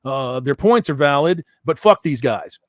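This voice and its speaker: A man's voice: on the high side, expressive, measured pace, dry recording, clean studio sound. Blunt, profane man hosting a call-in. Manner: blunt, profane, laughs often. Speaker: podcast host. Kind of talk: casual call-in chat.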